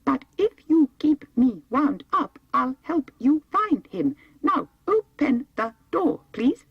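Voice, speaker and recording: A woman voicing a polite, steadfast clockwork man in an audiobook, speaking in a deliberate, measured way, with slightly muffled sound. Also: high-pitched, big pitch swings, dry recording, voice pushed up, full character voice. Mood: measured pleading. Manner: slow, deliberate, measured delivery.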